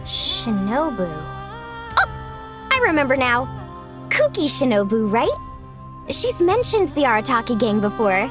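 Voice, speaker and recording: A perky young anime game athlete, eager and competitive. Her voice sits very high and moves expressively. Faint music runs underneath. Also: very animated, measured pace, pauses often. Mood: impressed, eager. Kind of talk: anime game character acting.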